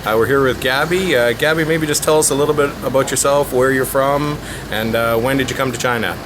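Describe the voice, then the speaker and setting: A man doing a casual interview as the interviewer, encouraging and quick to affirm. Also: mid-range voice, steady tone, background noise.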